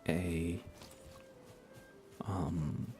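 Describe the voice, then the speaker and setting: A man speaking softly as an ASMR game streamer, hushed and often near a whisper, rambling casually as he chats with viewers. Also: deep voice, steady tone, very slow, faint music behind. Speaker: live streamer. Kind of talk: soft-spoken ASMR gaming livestream chat.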